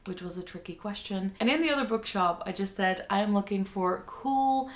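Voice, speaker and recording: A woman's voice: mid-range voice, normal speed. A young woman from the Netherlands, a native Dutch speaker, talking casually and chattily to viewers in a book haul vlog. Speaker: book reviewer and video host.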